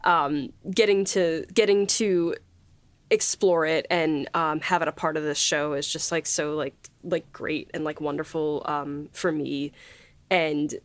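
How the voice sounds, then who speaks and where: Woman podcast host, lower-pitched, close dry sound. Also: steady tone, a little slow, no echo. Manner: chatty, hesitant, effusive in praise. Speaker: podcast host and series writer. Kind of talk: casual panel chat.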